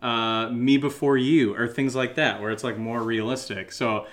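A man co-hosting a podcast, answering questions in a casual, jokey, rambling and halting way. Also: mid-range voice, fairly even intonation, a bit bright. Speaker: co-host of an audio drama podcast answering listener questions. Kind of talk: casual unscripted Q&A chat.

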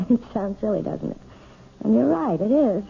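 An actress playing a timid, proper wife who gives piano lessons, speaking gently and nervously in a 1970s radio drama. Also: female voice, mid-range voice, very animated, moderate pace, gravelly, slightly rough, full character voice. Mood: nervous, prim. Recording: tinny, narrow old radio broadcast.

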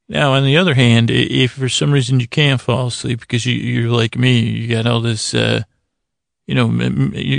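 Super creaky guy doing a sleep podcast, really dry close sound, keeps repeating himself and wandering off, kinda self-deprecating. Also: mid-range voice, no echo. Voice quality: gravelly, creaky. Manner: meandering, halting, often repeating words and trailing into tangents; gently silly and self-deprecating. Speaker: podcast host. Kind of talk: rambling bedtime monologue to help listeners sleep.

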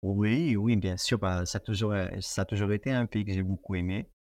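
A man's voice: fairly low pitch, fairly even intonation, a little fast, darkish tone, dry recording, studio quality. A young man from Portugal, whose first language is Portuguese, speaking French with a Portuguese accent as an interview guest, hesitant with many fillers and restarts. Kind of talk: casual interview conversation.

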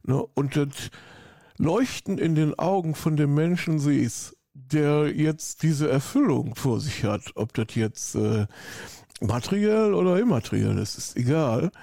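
Rhineland guy going on and on, lots of ähs, low gravelly tone. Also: expressive, a little slow, mellow, dry recording, clean studio sound. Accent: Rhineland German. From Rhineland, Germany. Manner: effusive, rambling, many fillers. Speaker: interview guest, coach. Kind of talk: casual interview.

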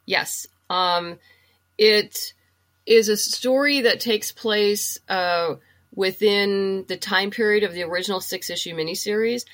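A woman speaking as a podcast guest in casual interview chat, halting as she thinks aloud. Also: mid-range voice, fairly bright tone. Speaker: podcast guest, comic writer and audio drama producer.

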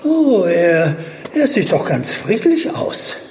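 High-voiced, flustered professor in an echoey hall. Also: male voice, big pitch swings, takes it slowly, a little mellow, exaggerated voice. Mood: flustered, curious. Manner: stammering, apologetic, hesitant. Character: flustered, nervous, curious eccentric male professor of archaeology. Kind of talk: audio drama acting. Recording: echoey hall, faint ambient noise.

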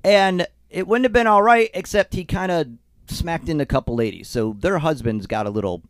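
A man co-hosting a podcast in a studio, telling a story in a lively way and laughing as he goes, a little sick and congested. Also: a little high, fairly quick, no echo. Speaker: co-host. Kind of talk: casual storytelling chat. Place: recording studio. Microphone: studio mic.

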